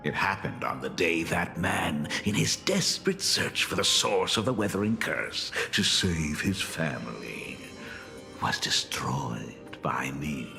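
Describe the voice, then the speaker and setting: An actor playing a sinister, theatrical impostor demon in a video game, gravelly and mocking, drawing out his words. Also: very animated, full character voice. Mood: menacing, gloating. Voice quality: gravelly, low. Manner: mocking, theatrical, drawn-out delivery. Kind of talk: dramatic video game voice acting. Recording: music behind the voice. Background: game music.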